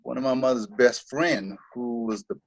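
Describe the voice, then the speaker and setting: A man's voice: mid-range voice, steady tone, normal speed, no echo. A middle-aged man born in Korea and raised in the US Midwest, answering interview questions in an easygoing way. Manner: easygoing, laughs often. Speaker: guest. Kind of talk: casual interview conversation. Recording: dull, muffled recording.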